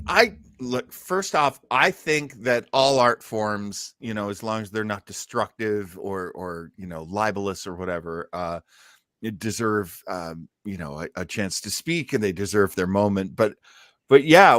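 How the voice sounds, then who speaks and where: A man hosting a podcast in casual comic chat, joking and somewhat halting. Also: lowish voice, fairly bright tone, dry recording, studio quality. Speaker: podcast host.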